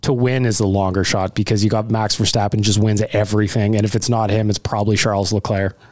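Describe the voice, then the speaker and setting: A man's voice: fairly low pitch, little pitch movement, quick pace, a little mellow, no room sound, studio quality. A man, co-hosting a casual sports betting podcast, loose and profane, laughing often. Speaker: podcast co-host.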